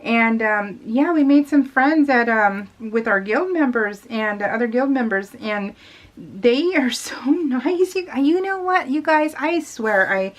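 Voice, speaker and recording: A woman's voice: on the high side, expressive, unhurried. A middle-aged American woman telling personal stories in a casual, rambling, giggly way and acting out remembered conversations. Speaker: craft video blogger. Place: voice-over talk recorded while crafting.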